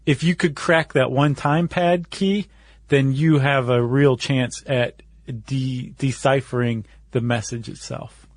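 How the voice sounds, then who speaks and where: A man's voice: mid-range voice, fairly even intonation, slow, no echo, clean studio sound. A man co-hosting a podcast, explaining things step by step in a casual conversational way. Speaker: podcast co-host.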